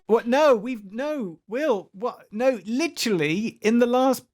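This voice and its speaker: A man co-hosting a podcast in casual chat, animated, often repeating and restarting his phrases. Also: extremely high pitch, slow pace, soft background music. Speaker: podcast co-host.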